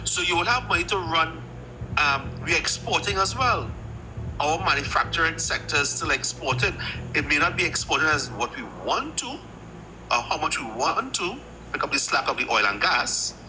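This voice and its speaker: A man's voice: fairly high pitch, animated, normal speed, music in the background, poor audio. A man who calls in to a live chat and explains trade step by step, with many restarts. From Trinidad. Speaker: caller joining the live chat.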